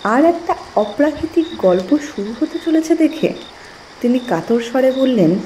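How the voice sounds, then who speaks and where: A woman narrating a scripted literary story in an expressive, measured storytelling manner. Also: high voice, a little hiss or hum. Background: music.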